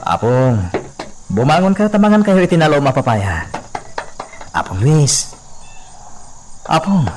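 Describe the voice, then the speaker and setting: Man acting an excitable young man, very animated. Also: on the high side, measured pace, long pauses, background noise. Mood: urgent, distressed. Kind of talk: Ilocano radio drama acting.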